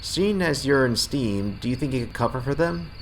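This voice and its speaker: A man's voice: mid-range voice, animated, normal speed, a little hiss or hum, lightly acted. A man playing a friendly rail yard night foreman, explaining things plainly in an audio drama. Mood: friendly, coaxing. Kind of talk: fan audio drama acting.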